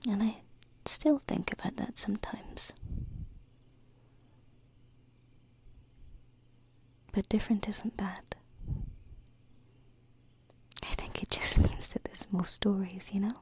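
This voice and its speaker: A woman voicing a gentle, wistful alien princess in a breathy whispered ASMR roleplay, close to a binaural mic. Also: mid-range voice, steady tone. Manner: slow, tender, reflective, with pauses. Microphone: close binaural microphone. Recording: close-up whisper with faint background noise.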